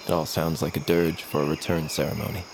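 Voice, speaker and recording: An actor playing a troubled, grieving grandson in a fantasy audio drama, speaking hesitantly and earnestly. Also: male voice, extremely low pitch, level tone, fast talker, noisy background. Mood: wistful, bitter. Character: troubled, grieving grandson, former musician, haunted by voices.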